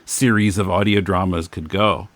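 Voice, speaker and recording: A man's voice: deep voice, steady tone, normal speed, a bit bright. A man hosting a casual podcast interview, asking curious questions in a slightly hesitant way.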